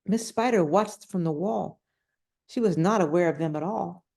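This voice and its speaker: A woman's voice: on the low side, normal speed, vocal fry, darkish tone, breathy edge. A woman reading a children's storybook aloud, adding casual, simple explanations and questions for young listeners. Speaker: storybook reader.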